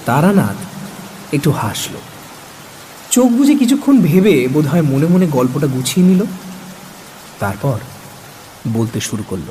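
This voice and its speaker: An actor playing a curious, coaxing listener who narrates an audio horror story in an expressive, literary way. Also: male voice, a little high, a little slow, pauses often. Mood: expectant. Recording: faint noise under the voice. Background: rain sounds.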